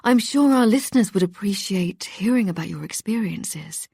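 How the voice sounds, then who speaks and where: A woman voicing a cheery young female radio presenter with a smooth, velvety voice in an audiobook. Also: medium pitch, animated, moderate pace, dry recording, studio quality. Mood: chirpy. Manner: bright and chirpy. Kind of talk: audiobook character voice.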